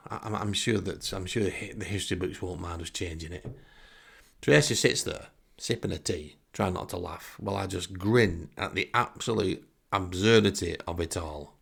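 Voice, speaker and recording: A man's voice: low-pitched, creaky, fairly bright tone, breathy edge. A man telling a first-person story in a casual, sardonic, self-deprecating way. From northern England. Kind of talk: wry first-person anecdote, casual narration.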